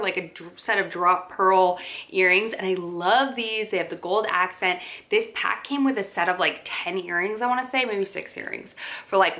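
A woman's voice: medium pitch, sharp tone. A young woman chatting to camera about her monthly favorites, casual and full of filler likes and ums, filmed close up in a bedroom. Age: young adult. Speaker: lifestyle vlogger. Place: bedroom with dresser and plant, indoors.

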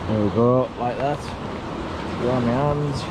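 Guy doing casual fishing vlog chat outside, really loud wind and waves all over it. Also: mid-range voice, measured pace. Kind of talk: casual running commentary while fishing. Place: outdoors on a rocky jetty by the sea at night. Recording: outdoor mic with loud wind and surf.

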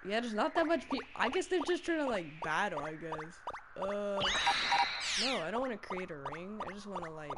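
A man giving casual, excitable commentary while playing a fighting game, shouting in fights and mumbling between rounds. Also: high-pitched, normal speed, heavy background noise. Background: loud game music and sound effects.

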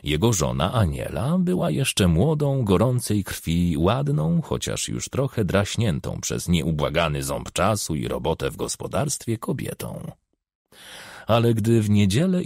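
Male narrator, husky and rough, lively intonation, scripted crime fiction reading, dry studio sound. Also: fairly low pitch, dry recording. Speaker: audiobook narrator. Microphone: close studio microphone.

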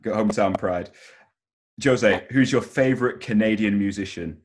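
A man's voice: on the low side, moderate pace. A man in his twenties doing a casual interview over a video call, with informal, hesitant phrasing. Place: home room, video call. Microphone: headset on video call.

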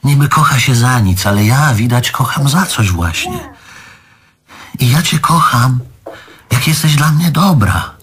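Stage drama: needy, bitter man in middle age, emphatic and self-correcting, very animated delivery. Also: mid-range voice, unhurried. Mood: exasperated. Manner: emphatic, self-correcting, swallows curses mid-word. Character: bitter, needy, aggrieved middle-aged male lover, ranting. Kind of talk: stage play monologue.

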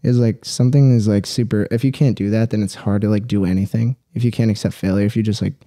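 A young adult man telling personal stories casually as a podcast guest, halting and rambling, with frequent swearing. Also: lowish voice, fairly even intonation, dark tone, no room sound, studio quality.

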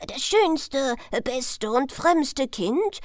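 Woman voicing a slow, courteous old-world storybook stork. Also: high-pitched, animated, no echo, clean studio sound, voice pushed up, heavily acted. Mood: gracious, reassuring. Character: kindly, well-travelled, wise male stork. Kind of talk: fairy-tale storybook reading.

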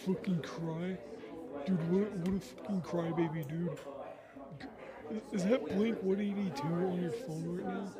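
A man playing a cocky, mocking high school jock bully in a taunting, slangy roleplay monologue. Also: fairly even intonation, slow pace, a loud crowd. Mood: cruel, gloating. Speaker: ASMR roleplay performer. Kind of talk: improvised ASMR roleplay monologue.

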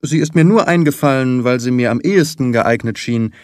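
A man voicing a brisk, analytical detective in an audiobook, articulate and emphatic, with deliberate phrasing. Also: medium pitch, a little mellow, no room sound, studio quality, natural voice. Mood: pondering. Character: brisk, confident, analytical male detective, theatrical and keen. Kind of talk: audiobook character dialogue.

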